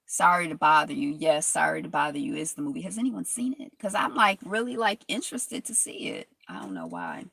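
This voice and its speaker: A woman vlogger reads from a text and drifts into reflective, rambling asides. Her voice is gravelly and bright. It sits fairly low for a woman, in a dry, close-sounding space. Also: big pitch swings, a little fast, hardly any pauses, dry recording. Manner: rambling, reflective, with many fillers and restarts. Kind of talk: reading from a history text and commenting aloud.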